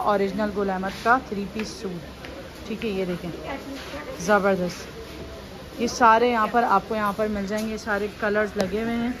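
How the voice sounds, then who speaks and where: An upbeat woman narrates a shopping walkthrough, her voice pitched a little high and peppered with fillers. She is recorded on a handheld phone in a large warehouse. Steady store noise hums clearly behind her. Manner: upbeat, repeats fillers like "theek hai". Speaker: vlogger. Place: large busy clothing warehouse indoors. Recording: noisy store ambience.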